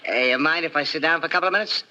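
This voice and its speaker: Chummy little guy, rapid patter, piercing highish voice, colloquial, vintage radio drama recording. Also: sharp tone, muffled recording, slight background noise. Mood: chatty, casual. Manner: informal, colloquial, chummy, hesitant starts. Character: small, slight, chatty man just recovered, casually cadging cigarettes. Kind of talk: 1940s radio drama acting.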